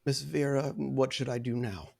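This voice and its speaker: Man as soft-spoken servant driver, deferential, polite, quietly wry, tabletop game character. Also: mid-range voice, normal speed, creaky, slightly rough. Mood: meek.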